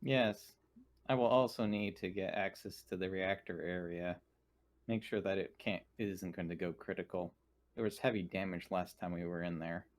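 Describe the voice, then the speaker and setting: Man acting as dutiful android, very low pitch, formal wording, measured delivery, protective, tabletop sci-fi game. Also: fairly even intonation, close to an everyday voice. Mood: dutiful, polite. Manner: measured, formal, precise phrasing.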